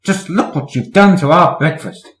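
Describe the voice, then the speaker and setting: A man's voice: a little high, moderate pace, reverberant, pitched above the performer's own voice, natural voice. A man voicing an angry, scolding housewife in a children's storybook reading. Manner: sharp scolding. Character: angry scolding woman, stationmaster's wife.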